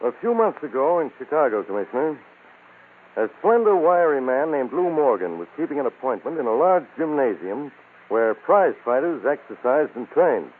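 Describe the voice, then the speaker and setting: A man's voice: mid-range voice, sing-song, moderate pace, a little hiss or hum, close to an everyday voice. Casting: male actor as an emphatic ex-police lieutenant storyteller, swooping delivery, tinny old radio sound. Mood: grave, dramatic. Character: retired police lieutenant recounting a case dramatically. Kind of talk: scripted old-time radio crime narration.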